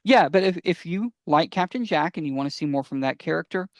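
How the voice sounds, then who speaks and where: A man hosting a casual fan podcast, talking enthusiastically and sometimes haltingly as he gives recommendations. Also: fairly high pitch, lively intonation, dry recording, clean studio sound. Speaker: podcast host.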